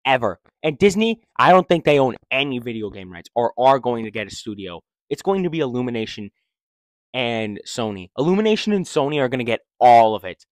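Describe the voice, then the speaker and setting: Excitable young man rambling casually. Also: mid-range voice, fairly quick, no room sound. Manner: rambling, restarts sentences, slangy and excitable. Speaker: vlogger. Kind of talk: casual opinion vlog. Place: living room, seated in armchair. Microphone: handheld mic held close.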